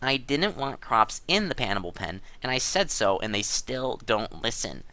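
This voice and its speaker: A man's voice: medium pitch, sing-song, normal speed, pushed and bright, no room sound. A man playing an aggrieved, defiant gamer in a theatrical, emphatic dramatic reading of online drama. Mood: exasperated. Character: aggrieved, defiant gamer indignantly denying theft.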